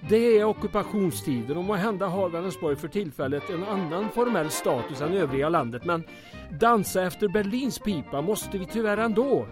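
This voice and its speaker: An actor playing a harried, deferential town mayor in an old-time radio drama, hesitant, apologetic and formal. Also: male voice, high voice, lively intonation, over music. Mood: placating.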